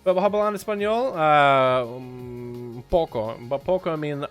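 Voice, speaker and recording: Joking young streamer with a slight Russian accent. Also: male voice, a little high, expressive, measured pace, faint music behind. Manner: joking, loose, calls the viewers "boys". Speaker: game streamer. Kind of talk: casual livestream chat.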